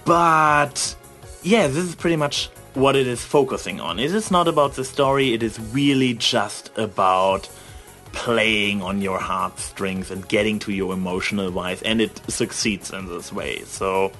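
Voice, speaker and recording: A man's voice: lowish voice, measured pace, pushed and bright. Casually rambling man, emphatic, over music. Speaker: vlogger.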